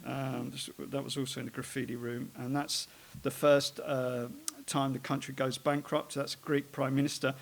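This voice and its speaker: A man at middle pitch talks about his art in a loose, unscripted way. He stops and restarts often, filling gaps with ums and uhs as he wanders from point to point. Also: little pitch movement, creaky. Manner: rambling, halting, many fillers and restarts. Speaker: artist giving a talk on his work.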